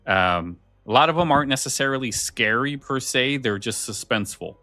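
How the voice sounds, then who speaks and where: A man's voice: medium pitch, animated, moderate pace, pushed and bright, dry recording. A man co-hosting a podcast, chatting casually in an emphatic, enthusiastic way. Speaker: podcast co-host. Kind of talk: casual chat about scary stories.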